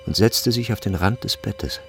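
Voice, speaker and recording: A man narrating a literary radio drama in a measured, grave and deliberate way. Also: on the low side, over music.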